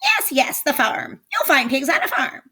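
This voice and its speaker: Storybook witch, played by a female reader: high, screechy, greedy and grouchy, big theatrical swings. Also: huge pitch swings, moderate pace, bright, forceful tone, exaggerated voice. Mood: excited scheming. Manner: theatrical, gleeful, exclamatory. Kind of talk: children's storybook read-aloud character voice.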